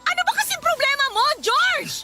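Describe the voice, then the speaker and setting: A woman playing an irritable, fed-up, sharp-tongued girlfriend in a Filipino radio drama, with a Filipino accent and a scolding manner. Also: very high-pitched, animated, normal speed. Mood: defiant, irritated. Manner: snappish, scolding, exasperated. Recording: music behind the voice.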